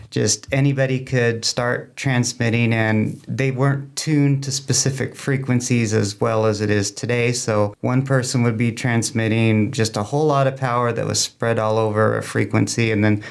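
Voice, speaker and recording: A man's voice: medium pitch, fairly even intonation, measured pace, creaky, smooth. A man speaking as a guest in an interview, explaining things informally in a plain-spoken way. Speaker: guest, amateur radio club member.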